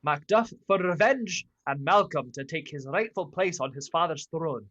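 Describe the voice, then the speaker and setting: A man's voice: on the high side, normal speed, fairly bright tone, dull audio. A young man playing a 1940s radio announcer, reading scripted narration for a drama. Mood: upbeat. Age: young adult.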